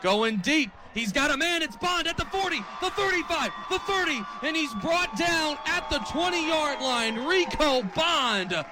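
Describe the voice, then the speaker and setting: High-pitched young male football play-by-play announcer. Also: measured pace, background noise. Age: 20s. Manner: animated, builds from clipped calls to excited shouting. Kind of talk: live American football radio commentary. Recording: stadium crowd behind the commentary. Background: stadium crowd.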